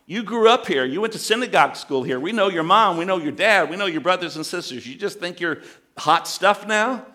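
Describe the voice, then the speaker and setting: A man's voice: sits high, expressive, a little fast, bright, forceful tone. A man voicing a scornful crowd of hometown men who taunt and sneer. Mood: mocking.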